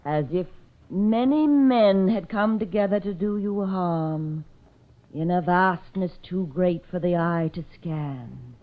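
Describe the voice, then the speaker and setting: An actress playing a haughty phony fortune-teller in an old radio drama, speaking in grand, portentous tones. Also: female voice, on the low side. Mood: mystical, intoning. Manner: grand, portentous, slow-spoken. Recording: old radio recording, narrow tinny band.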